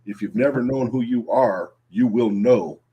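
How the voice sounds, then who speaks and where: A man hosting an interview, affable and agreeable, with drawn-out fillers as he searches for words. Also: mid-range voice, dark tone, slightly rough. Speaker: interview host, gym owner. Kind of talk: casual interview chat.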